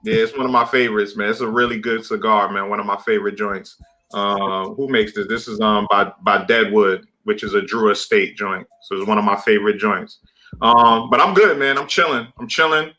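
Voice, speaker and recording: A man as a podcast guest, talking in a relaxed, casual way and giving heartfelt shout-outs. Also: fairly low pitch, brisk. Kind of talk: casual chat.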